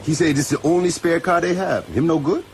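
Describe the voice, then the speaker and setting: A man's voice: fairly high pitch, fairly quick, soft background music, lightly acted. A man acting in a radio drama as a loyal, easygoing island fisherman sidekick, with a Caribbean accent and a folksy, deferential manner. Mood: helpful.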